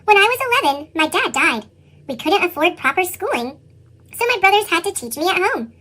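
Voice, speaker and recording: A woman reading a children's picture book as an earnest young boy, with a squeaky pitched-up chipmunk effect. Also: brisk, exaggerated voice. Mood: matter-of-fact. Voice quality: squeaky, sped-up chipmunk sound. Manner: animated children's read-aloud delivery. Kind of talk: scripted picture-book narration.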